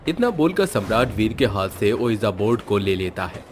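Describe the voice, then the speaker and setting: Low-voiced man narrating a cartoon story. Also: sing-song, moderate pace, a little hiss or hum.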